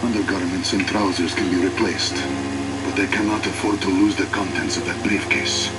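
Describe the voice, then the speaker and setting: An actor playing a weary, bitter retired fighter pilot, narrating a war game in a somber, measured, reflective way. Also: male voice, deep voice, steady tone, very noisy, natural voice. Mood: grave reflection. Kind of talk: scripted dramatic narration. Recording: loud music behind the voice.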